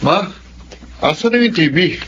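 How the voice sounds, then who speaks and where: A man's voice: high-pitched, expressive, moderate pace, loud music behind the voice. An actor playing a concerned, questioning son in his twenties, in a Filipino radio drama style. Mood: puzzled, worried. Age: 20s. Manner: earnest, questioning. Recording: muffled, faintly noisy radio drama audio.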